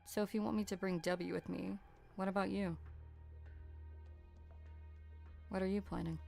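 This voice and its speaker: A woman's voice: mid-range voice, fairly even intonation, fast talker, vocal fry, a little mellow, soft background music. A woman playing a wary, blunt, seasoned mercenary with a cool delivery in an amateur game dub. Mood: cool, probing.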